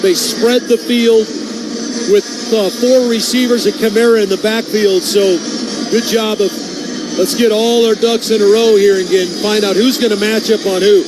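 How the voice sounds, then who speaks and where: A man's voice: sits high, steady tone, moderate pace, over music, rough recording. An American man giving colloquial, explanatory analysis during a live football radio broadcast. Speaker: colour analyst. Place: stadium broadcast booth. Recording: clear stadium noise behind the voice. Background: stadium ambience.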